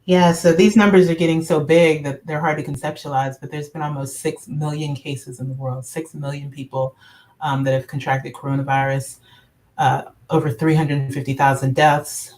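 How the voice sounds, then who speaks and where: Deep, flat-voiced woman from Baton Rouge. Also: moderate pace, poor audio. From Baton Rouge, Louisiana. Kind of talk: panel discussion.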